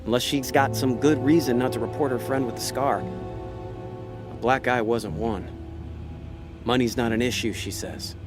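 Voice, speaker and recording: A man's voice: mid-range voice, fairly even intonation, normal speed, long pauses, music in the background, lightly acted. An actor playing a laid-back con man who narrates a noir audio drama in a wry, slangy, hard-boiled way. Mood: scheming, suspicious. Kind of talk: noir audio drama acting with inner monologue.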